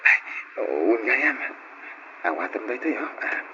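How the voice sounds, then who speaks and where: A man's voice: mid-range voice, very animated, a little slow. A man acting a romantic, teasing young husband in an old Ilocano radio drama. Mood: teasing, tender. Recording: muffled, noisy old radio recording.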